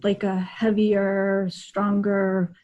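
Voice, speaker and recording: A woman's voice: mid-range voice, monotone, extremely slow, warm tone. A middle-aged woman chatting casually as a co-host, in a chatty, self-deprecating way. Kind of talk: casual chat. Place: home room, indoors. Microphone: video call. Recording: dull lo-fi video-call audio.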